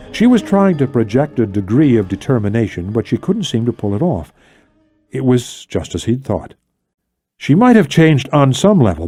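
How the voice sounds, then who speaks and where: A man narrating an audiobook of fiction with an expressive, dramatic delivery. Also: medium pitch, slightly rough, dry recording, studio quality.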